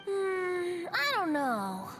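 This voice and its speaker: A woman's voice: very high-pitched, very animated, drawn-out words, over loud music, full character voice. A woman voicing a playful, breezy boyish male bard in an anime fantasy game, theatrical and teasing. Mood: teasing, eager.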